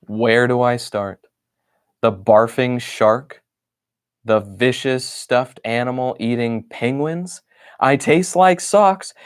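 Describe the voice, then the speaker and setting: A man's voice: fairly high pitch, clean tone, no echo, studio quality. A man voicing a grumpy, put-upon stuffed bunny who complains through his diary entries in an exasperated way.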